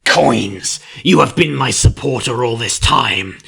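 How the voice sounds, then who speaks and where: A man's voice: medium pitch, expressive, slow pace, vocal fry, bright, forceful tone, breathy edge, pitched above the performer's own voice, full character voice. A man voicing an arrogant male changeling, an insectoid villain who snarls and spits defiantly in a fantasy story. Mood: urgent, persuasive.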